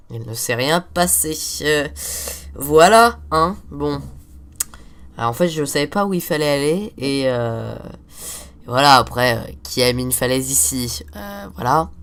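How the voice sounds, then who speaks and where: A man's voice: on the high side, lively intonation, a little slow, a bit bright, smooth. A teenage boy with a cold, hosting a casual let's-play and rambling on while swearing at the game. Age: teens. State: has a cold, stuffy. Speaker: gaming video host.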